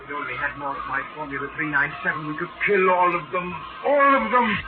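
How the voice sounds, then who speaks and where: A man's voice: a little high, fast, loud music behind the voice, lightly acted. An actor playing an agitated, obsessed professor losing his mind, in 1940s radio drama style, urgent and repeating himself. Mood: crazed defiance. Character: frantic, obsessed male professor descending into madness. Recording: scratchy tinny 1940s radio recording.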